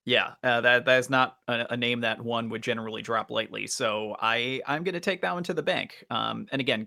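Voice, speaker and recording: A man's voice: mid-range voice, gravelly, bright, forceful tone, dry recording, studio quality. A man hosting a casual podcast, talking in wordy, roundabout and slightly formal phrases. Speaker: podcast host.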